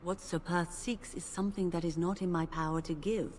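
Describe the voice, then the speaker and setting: An actress playing a devout, principled priestess who speaks in a solemn, measured way. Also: female voice, on the low side, fairly even intonation, a little mellow, slight background noise, natural voice. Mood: worried. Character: devout older female priestess, gentle but principled. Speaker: game character. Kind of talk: video game voice acting. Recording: game audio with faint ambience.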